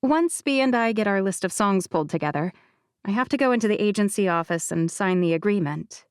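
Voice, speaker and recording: A woman's voice: mid-range voice, lively intonation, a little fast, clear, resonant tone, no room sound. A woman reading a romance audiobook in the first person, wry and confiding, in a conversational tone, close to a studio mic. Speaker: audiobook narrator.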